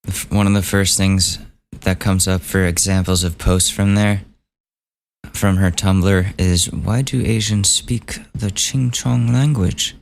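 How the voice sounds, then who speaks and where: A gravelly, hoarse man talks slowly through a solo podcast, somewhat low in pitch. His manner is offhand and sweary as he muses over each item. A faint hiss sits under his voice. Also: steady tone. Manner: offhand, swears, thinks aloud while reading gossip items. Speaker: podcast host. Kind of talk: casual solo podcast commentary.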